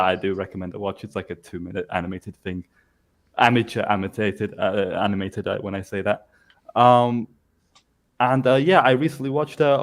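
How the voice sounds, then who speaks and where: A man chatting casually on a podcast, rambling with many fillers and pauses. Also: fairly low pitch. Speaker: podcast co-host. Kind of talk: casual chat.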